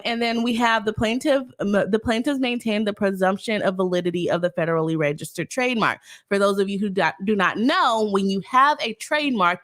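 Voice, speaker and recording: A woman's voice: medium pitch, a bit bright. A woman attorney hosting a casual live stream, explaining a legal case plainly and reading chat aloud, sounding stuffy from a cold. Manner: chatty, explains legal terms plainly, reads chat aloud, laughs often.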